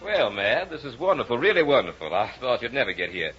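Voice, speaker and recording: A man playing a courtly but brooding, possessive and lonely plantation gentleman in a vintage radio drama. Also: medium pitch, big pitch swings, fast, soft background music. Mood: effusive welcome. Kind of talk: 1950s radio acting. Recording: noisy, muffled old radio recording.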